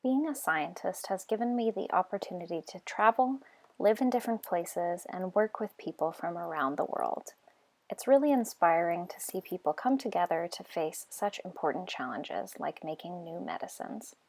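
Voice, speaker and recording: Low, gravelly American woman. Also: animated, moderate pace, darkish tone. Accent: American, Texan. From Texas, United States. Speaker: lab group leader, researcher. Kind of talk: scripted personal narration.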